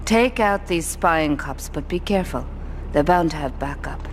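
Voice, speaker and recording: A woman playing a commanding, ruthless female crime boss in a video game, controlled and deliberate with a dry, seductive tease. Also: sits low, animated, slight background noise. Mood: cool, commanding. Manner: controlled, deliberate, dryly teasing. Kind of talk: video game cutscene acting.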